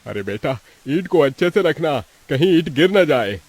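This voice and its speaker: A man playing a poor, worried labourer father who frets and gives orders in an animated moral story. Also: fairly high pitch, moderate pace, husky, no echo. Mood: scolding, urging. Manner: fretful, exhorting. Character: Devesh, poor worried labourer father, bossy but caring.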